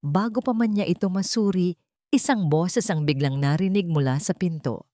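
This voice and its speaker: A woman narrating a scripted audio drama in a measured, suspenseful storytelling manner. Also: on the low side, mellow, no echo, clean studio sound.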